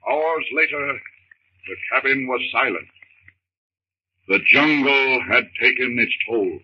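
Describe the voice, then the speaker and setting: An actor playing a solemn, declamatory narrator of a jungle adventure in a 1930s radio drama. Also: male voice, medium pitch, takes it slowly, close to an everyday voice. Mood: somber. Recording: noisy, dull scratchy 1930s radio recording.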